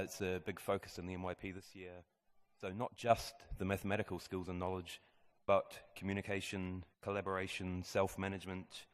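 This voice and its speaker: A man who heads a school maths department, steadily explaining the curriculum to parents in a school presentation room. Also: low voice.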